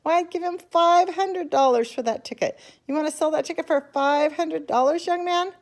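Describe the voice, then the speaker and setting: A woman voicing a pushy, greedy woman shouting in a crowd in a storybook reading. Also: high voice, normal speed, pitched above the performer's own voice. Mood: indignant.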